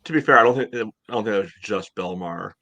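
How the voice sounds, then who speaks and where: An American man in his thirties hosting a casual sports podcast over a video call, speaking haltingly with lots of "you know". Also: on the low side, bright, forceful tone, highs cut off. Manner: hesitant, halting delivery, heavy use of "you know". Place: home room, indoors, on a video call. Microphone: headset on video call.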